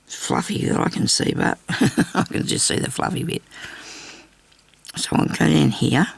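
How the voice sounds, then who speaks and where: An older woman with a raspy, hoarse voice from a bad cough, chatting casually through a sewing tutorial at her craft table. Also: low-pitched, normal speed, no room sound. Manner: chatty, rambling asides while stitching. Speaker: crafting channel host. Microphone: overhead camera on her hands.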